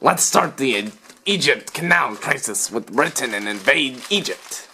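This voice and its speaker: A man voicing an indignant, blustering French military leader with a gravelly, forceful voice in an amateur puppet show, on a noisy recording. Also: mid-range voice, lively intonation, measured pace. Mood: outraged.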